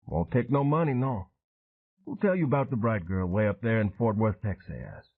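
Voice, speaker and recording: A man's voice: fairly low pitch, sing-song, normal speed. A man doing a laconic boatman. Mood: terse, knowing. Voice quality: clipped broken grammar, laconic. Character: gruff Cajun male Vietnam veteran boatman. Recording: tinny phone-like sound.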